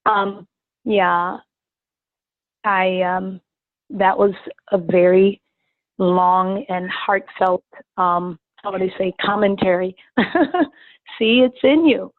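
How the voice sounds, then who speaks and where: A woman's voice: medium pitch, fairly even intonation, slow, pauses often, no echo. A woman chats in a friendly, teasing way with the class speaker. Her voice comes through dry and thin, like a phone call. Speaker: class participant.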